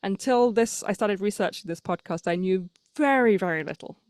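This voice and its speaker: A woman's voice: mid-range voice, normal speed, a little mellow, no room sound, clean studio sound. A woman hosting a podcast interview, chatting in a friendly, casual way with lots of small affirmations. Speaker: podcast host.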